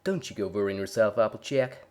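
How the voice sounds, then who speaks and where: A man's voice: lowish voice, brisk, a little mellow, close to an everyday voice. A man voicing a big, placid farm stallion with a folksy manner. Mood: easygoing. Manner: placid, folksy, pleasant while threatening. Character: big placid male farm pony with quiet menace.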